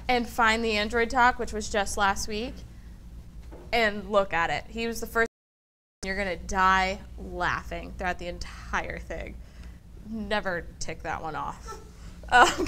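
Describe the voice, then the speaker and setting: A woman with a fairly high voice runs the meetup's announcements in an upbeat, chatty way. She speaks loudly to the room. Faint room noise sits behind her. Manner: upbeat and chatty, says she has a loud voice. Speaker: meetup organizer and host. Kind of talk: casual announcements. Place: meeting room with an audience.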